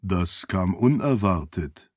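Man voicing a low, soft-spoken loyal spirit servant. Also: steady tone, a little slow, no echo, studio quality. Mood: quiet, dry. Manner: soft-spoken, formal, deferential. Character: calm, dry, loyal aged spirit servant, male.

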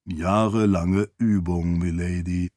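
A man voicing a calm, dry, formal spirit servant in an audiobook, soft-spoken and deferential. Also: low-pitched, takes it slowly, no echo, pitched below the performer's own voice.

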